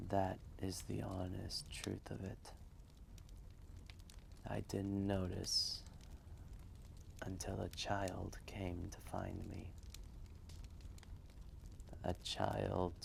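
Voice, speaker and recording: A man voicing an ancient, reflective dragon who tells a fireside story slowly and solemnly, with deliberate pauses and a low, gravelly voice. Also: exaggerated voice. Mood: solemn, tender. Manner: slow, deliberate, pausing, solemn reminiscing. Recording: faint background noise, fireplace ambience.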